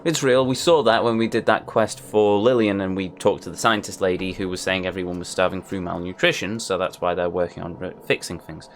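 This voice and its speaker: A man hosting a let's play, reading on-screen messages aloud and adding wry asides. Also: fairly low pitch. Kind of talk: casual game commentary.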